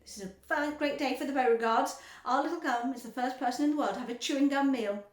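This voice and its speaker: A woman's voice: high-pitched, quick pace, close to an everyday voice. A woman voicing a brash, proud father who cheers on his daughter, in a storybook reading. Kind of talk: storybook read-aloud. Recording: echoey room.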